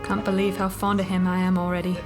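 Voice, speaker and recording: A woman's voice: medium pitch, completely flat delivery, fast, over music, close to an everyday voice. Plain-spoken, folksy fantasy woman played by an actress. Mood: tender, unsure. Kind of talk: fantasy audio drama acting.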